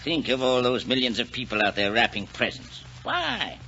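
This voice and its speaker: An actor playing a cantankerous, cynical elderly club gentleman in a 1940s radio drama, blustering and scornful. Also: medium pitch, huge pitch swings, normal speed, exaggerated voice. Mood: scornful grumbling. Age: older. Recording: muffled, noisy 1940s radio recording.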